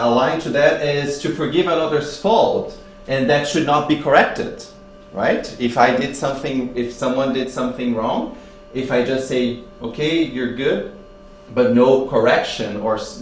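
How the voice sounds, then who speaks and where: Halting lower-voiced man in an echoey room. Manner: halting, searching for words. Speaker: speaker at a spiritist public meeting. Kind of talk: religious talk with storytelling. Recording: roomy echo, faint hum and noise.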